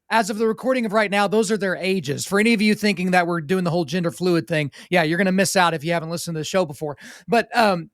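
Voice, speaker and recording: A man's voice: high-pitched, no room sound, studio quality. A man in his thirties hosting a podcast interview, rambling through his thoughts in a casual, chatty way. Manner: rapid, rambling, talks through thoughts aloud. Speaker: podcast host.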